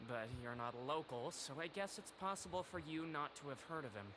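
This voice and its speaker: A man's voice: fairly high pitch, animated, brisk, background noise, natural voice. An actor playing a skeptical, blunt and eager male researcher in a video game. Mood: wry. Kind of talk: scripted video game dialogue.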